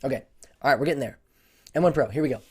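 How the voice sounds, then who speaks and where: A man with a voice hoarse from a cold, answering viewer questions on a casual livestream, rambling with lots of fillers. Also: mid-range voice, racing, a little mellow. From United States. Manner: rambling, many fillers and restarts. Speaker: tech YouTuber hosting a livestream Q&A.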